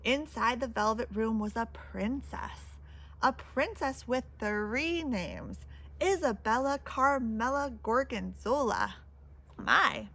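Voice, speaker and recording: A woman's voice: a little high, expressive, slow, fairly bright tone, rough recording. A woman reading a children's picture book aloud with theatrical, dramatic emphasis. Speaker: storybook reader.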